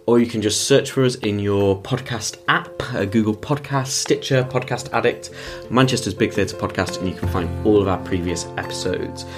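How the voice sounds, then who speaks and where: A man co-hosting a podcast, reading the intro in a halting way full of fillers. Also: on the low side, music in the background. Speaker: podcast co-host.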